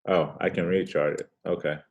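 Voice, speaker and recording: A man's voice: low voice, fairly even intonation, normal speed, highs cut off. A man with a soothing voice casually walking through trading charts, laid-back and slangy with rambling asides. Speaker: forex trading host.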